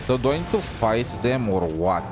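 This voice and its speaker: A man's voice: medium pitch, sing-song, moderate pace. Man thinking aloud over game music. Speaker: gaming streamer. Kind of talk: casual gameplay commentary.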